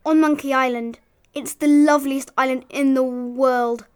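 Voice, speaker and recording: Narrator doing a little storybook monkey guy, really high voice, sad n pleading, polite but scared. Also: steady tone, moderate pace, smooth, lightly acted. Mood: wistful, sad. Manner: plaintive, courteous, imploring. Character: pleading, frightened small male talking monkey, gentle and sad. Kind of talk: children's storybook character voice.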